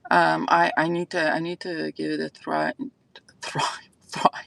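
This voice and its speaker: A woman's voice: low voice, little pitch movement, unhurried, gravelly, a bit bright. A woman from Iran with an Iranian accent, whose first language is Azeri, hosting a casual livestream chat and thinking aloud with lots of fillers. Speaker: fragrance channel host.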